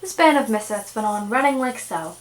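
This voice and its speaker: A woman narrates a children's puppet show in storybook style. Her voice sits a little high, and the home recording carries clear room echo. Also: expressive, a little fast. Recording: roomy, echoing home recording.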